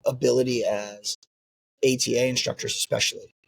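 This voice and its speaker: A man's voice: mid-range voice, fairly even intonation, normal speed, vocal fry, husky, no room sound, poor audio. A man who runs a martial arts school, talking earnestly in an interview and often tagging his points with "right?". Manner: earnest, repeats "right?" as a tag. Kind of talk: interview conversation.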